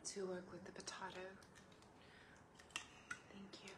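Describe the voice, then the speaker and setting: Soft-spoken young woman, slow and flat. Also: on the low side. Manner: gentle, rambling, with drifts into soft whispers. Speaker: ASMR creator. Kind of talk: casual ASMR eating video. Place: bedroom, sitting on a bed. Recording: echoey room from far off, noisy.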